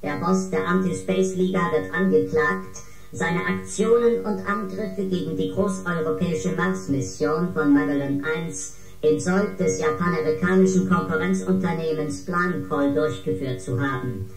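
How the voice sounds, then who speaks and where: A coolly official female ship computer in a sci-fi radio play. The voice is lowish and formal, echoing over loud music. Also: steady tone, normal speed, gravelly. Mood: matter-of-fact. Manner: formal, detached announcing. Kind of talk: science-fiction radio drama acting. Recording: reverberant, music underneath.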